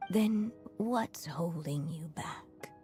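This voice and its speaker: An actress playing a gentle, earnest mother and writer in a video game, speaking softly and in measured phrases. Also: on the low side, soft background music. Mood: tender, resigned. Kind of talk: scripted video game voice acting.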